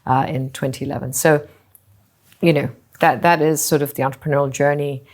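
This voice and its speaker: A middle-aged woman from Singapore, a company founder answering interview questions thoughtfully, often pausing with "you know" and restarting phrases. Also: low-pitched, fairly even intonation, a little mellow. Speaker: hotel group co-founder and CEO.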